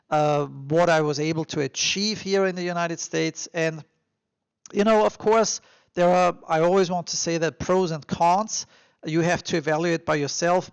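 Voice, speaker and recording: A man's voice: fairly high pitch, gravelly, clear, resonant tone, no room sound. A man with an Austrian accent hosting a podcast, giving motivational advice in an emphatic, encouraging, informal way. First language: German. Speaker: podcast and YouTube host. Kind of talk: motivational advice monologue to listeners.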